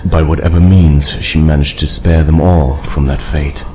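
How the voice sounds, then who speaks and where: A man playing an old dragon leader in an audio drama, calm and fatherly, with formal, measured speech. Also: rumbling low, slight background noise. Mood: calm, reassuring. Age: older.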